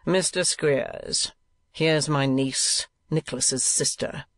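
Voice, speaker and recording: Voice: medium pitch, steady tone, slow, dry recording. Performer acting cold, sarcastic old miserly uncle. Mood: coldly sarcastic. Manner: growling, curt, sneering.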